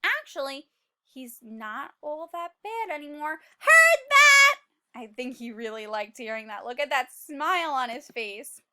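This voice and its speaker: A woman reading a picture book aloud as a teacher, expressive and exclaiming, prompting young children with questions. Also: high voice, a little slow.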